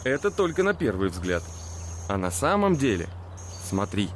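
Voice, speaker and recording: A man's voice: lowish voice, sing-song, moderate pace, a little hiss or hum. A man voicing a know-it-all robot compass in a children's cartoon, explaining things patiently with a slightly teasing, instructive manner.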